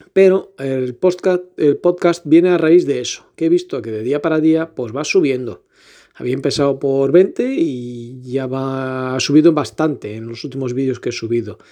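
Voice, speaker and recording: Earnest man with a mellow voice. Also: medium pitch. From Portugal. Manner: rambling and earnest, with restarts. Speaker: podcast host, photographer. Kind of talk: casual solo podcast monologue.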